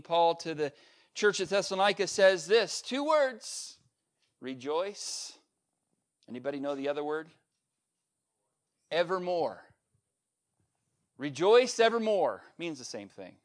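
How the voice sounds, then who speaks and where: A male pastor preaching a sermon, building up to a repeated refrain and swinging between loud peaks and quiet, low asides. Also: expressive, a little slow, long pauses. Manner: emphatic preacher with swings in pitch and loudness, repetitive refrain.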